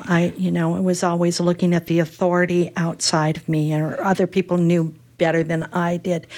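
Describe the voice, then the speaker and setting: A woman hosting a radio interview, speaking in a soft, gentle, measured way. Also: on the low side.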